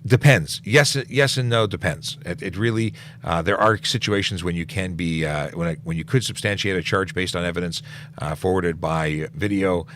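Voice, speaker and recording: A man's voice: deep voice, normal speed, a bit bright, slight background noise. A middle-aged man, a police officer, answering viewer questions on a casual livestream, stopping to restart his sentences and filling gaps with "uh" as he goes.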